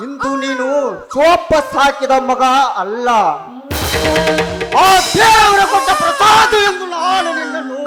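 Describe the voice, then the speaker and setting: A man playing a foster father of an abandoned baby, declaiming in a dramatic monologue that moves between sobbing and shouting. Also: extremely high pitch, slow. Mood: impassioned. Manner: declamatory, shouting and weeping by turns. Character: impassioned man who takes in an abandoned baby. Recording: loud music behind.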